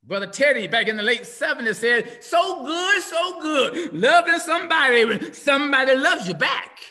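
Middle-aged preacher man on a video call, super high sharp voice, goes from shouting to whispering, lots of repeating. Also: expressive, normal speed. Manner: wide swings from loud shouting to hushed near-whisper, repetitive cadence. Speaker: pastor. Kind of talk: impassioned sermon. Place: home room with window blinds. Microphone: video call webcam. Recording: video call audio with long reverb.

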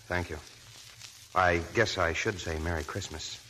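An actor playing a polite young army officer, in 1940s radio acting. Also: male voice, low voice, steady tone, a little slow, bright, forceful tone. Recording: old noisy radio broadcast.

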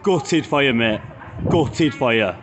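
A man's voice: medium pitch, animated, slow. A man in his thirties with a British English accent, filming himself on a phone while walking outdoors, chatting to viewers and throwing mocking jabs. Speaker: street vlogger. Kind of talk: walking selfie vlog chat. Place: outdoors on a city street. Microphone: phone held at arm's length. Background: faint street traffic.

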